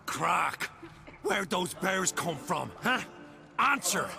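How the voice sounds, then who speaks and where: An actor playing a hot-tempered rival clan lord in a video game, gravelly, aggressive and threatening. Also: male voice, high voice, sing-song, takes it slowly, full character voice. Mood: furious. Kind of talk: dramatic video game acting. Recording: music behind the voice.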